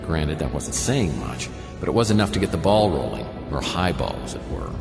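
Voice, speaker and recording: An actor playing a wry, world-weary private detective in a noir radio drama, narrating in a deadpan, sardonic way. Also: male voice, extremely low pitch, a little fast, gravelly, heavily acted. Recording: roomy long reverb under loud music.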